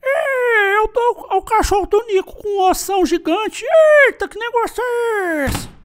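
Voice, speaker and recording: An actor playing an excitable, simple country farmer in a cartoon, with a rural Brazilian caipira accent, exclaiming and rambling in a high put-on voice. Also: a little slow. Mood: astonished. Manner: exclamatory, rambling, repeats himself. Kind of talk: animated cartoon monologue.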